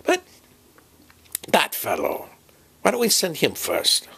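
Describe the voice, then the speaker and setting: A man voicing a fussy, forgetful professor in a comedy sketch, halting, muddled and repeating himself. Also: on the high side, huge pitch swings, gravelly, a bit bright, breathy edge, full character voice. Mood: fussy, exasperated. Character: doddering, fussy, forgetful male professor planning an expedition. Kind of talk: improvised comic dialogue sketch.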